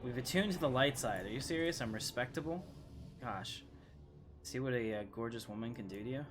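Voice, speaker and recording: A man's voice: mid-range voice, fairly quick. Casual male game streamer over music. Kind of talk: casual gameplay commentary.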